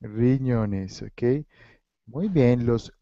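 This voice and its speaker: A man's voice: medium pitch, drawn-out words, gravelly, mellow, a little hiss or hum. A man teaching a Spanish class over a video call, running a quiz game and mixing Spanish with English as he encourages his students. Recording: dull lo-fi video call audio.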